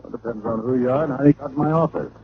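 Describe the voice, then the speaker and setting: An actor playing a wry, nonchalant male private detective in a vintage radio drama, unhurried and wisecracking. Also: mid-range voice, lightly acted. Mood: wry, casual. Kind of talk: 1940s-50s radio detective acting. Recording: noisy, phone-like old radio recording.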